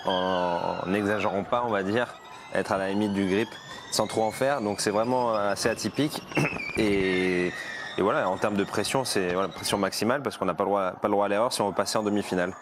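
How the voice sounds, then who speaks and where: A low-voiced man breaks down the racing as a live pundit. His speech is relaxed but halting, full of repeated words. Noise from the live broadcast is clearly audible behind him. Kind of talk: live race analysis. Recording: noisy live broadcast feed.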